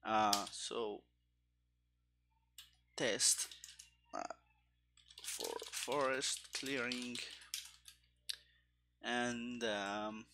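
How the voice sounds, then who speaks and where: Slow, bright-voiced man thinking aloud. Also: medium pitch, steady tone, gravelly, slight background noise. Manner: halting, muttering to himself while working. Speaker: programmer, host of coding stream. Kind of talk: live coding commentary, thinking aloud. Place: indoor room at a computer desk. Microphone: headset. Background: keyboard typing and clicking.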